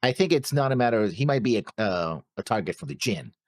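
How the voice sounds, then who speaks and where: A man co-hosting an anime reaction show, casually talking through plot theories in a halting way, repeating words and acting out imagined dialogue. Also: mid-range voice, expressive, dry recording.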